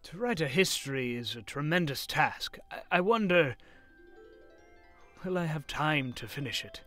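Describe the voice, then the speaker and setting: A man's voice: fairly high pitch, lively intonation, normal speed, a bit bright, soft background music. A man playing an elderly history professor in an audio drama, wistful, wondering and hesitant. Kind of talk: film-adaptation audio drama acting.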